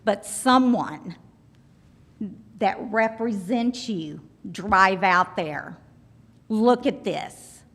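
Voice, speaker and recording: A woman, a local resident, addressing a zoning board loudly and emphatically, appealing directly to its members. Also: medium pitch, extremely slow, big-room sound. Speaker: resident speaking at a public hearing. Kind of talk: public comment at a zoning meeting.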